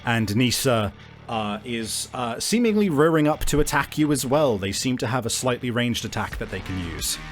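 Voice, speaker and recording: Medium-pitched man running a tabletop game. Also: lively intonation, normal speed. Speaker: game master. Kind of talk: improvised tabletop game narration and rulings. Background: faint music.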